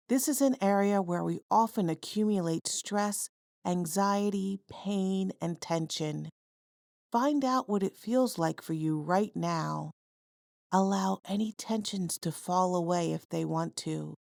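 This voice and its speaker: Slow, low-pitched woman guiding meditation. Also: vocal fry, dry recording, clean studio sound. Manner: calm, measured, instructional. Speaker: mindfulness guide. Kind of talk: guided body scan meditation narration.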